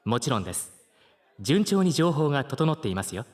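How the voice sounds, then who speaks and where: A man's voice: medium pitch, sing-song, brisk, natural voice. Guy doing polite mind-guide robot character, formal n precise, lots of up and down, audio drama. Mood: businesslike. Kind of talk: Japanese superhero audio drama acting.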